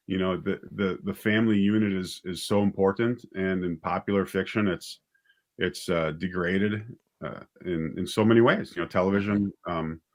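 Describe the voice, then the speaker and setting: A man with a low-pitched voice speaks as a writer and director in a casual interview. He feels his way through his thoughts, pausing and picking up again as he explains his work. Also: fairly even intonation.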